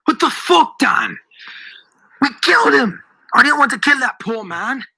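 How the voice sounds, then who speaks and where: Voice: high voice, animated, measured pace, creaky, bright, forceful tone, slightly rough, pitched above the performer's own voice, exaggerated voice. A storyteller voicing an anxious, conscience-stricken pirate man who exclaims with urgency in an adventure story. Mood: angry, horrified.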